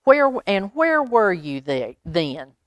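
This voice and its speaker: A gentle male storybook dog, read by a woman, earnest and protective. The delivery is expressive and unhurried, recorded dry. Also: mid-range voice, no room sound. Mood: indignant.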